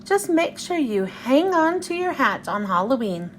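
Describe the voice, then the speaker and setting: Woman reading as high-pitched, animated storybook boy. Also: measured pace, slight background noise. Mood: reassuring. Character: young farm boy telling a spooky legend.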